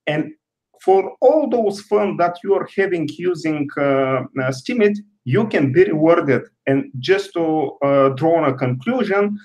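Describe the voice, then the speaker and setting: Guest, man, 30s, higher-pitched, measured and hesitant. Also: animated, a little mellow. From Bulgaria. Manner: careful, hesitant with many pauses. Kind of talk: interview answers.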